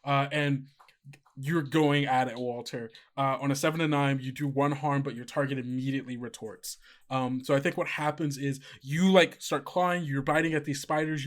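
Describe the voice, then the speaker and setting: A man running a tabletop game as game master, narrating loosely with many fillers and restarts. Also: medium pitch.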